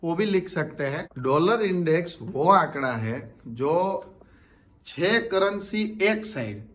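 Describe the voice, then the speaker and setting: A man's voice: on the high side, expressive, takes it slowly, a bit bright. A young man, a family vlogger, casually explaining things step by step to a phone camera in his living room. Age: young adult. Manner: chatty, explains step by step with repeats. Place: living room at home, indoors. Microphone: phone camera close up.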